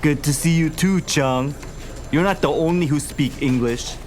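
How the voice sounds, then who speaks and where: A man's voice: a little high, a little slow, music in the background, natural voice. A man playing a cocky young army conscript who talks in a mocking, brash, slangy way. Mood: sneering, taunting. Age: young adult.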